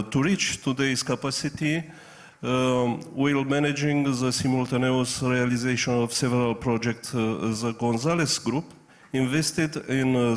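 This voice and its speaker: A man presenting his company as a conference panel guest in careful, halting non-fluent English. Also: mid-range voice. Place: business conference.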